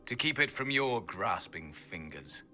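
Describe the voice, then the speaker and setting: A solemn ancient elf guardian for a fantasy game. The voice is very deep and keen-edged, with stately, disdainful phrasing over faint music. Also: male voice, sing-song, unhurried, sharp tone, exaggerated voice. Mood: proud disdain. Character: proud, solemn male elven sentinel guarding an ancient temple. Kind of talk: dramatic fantasy game acting.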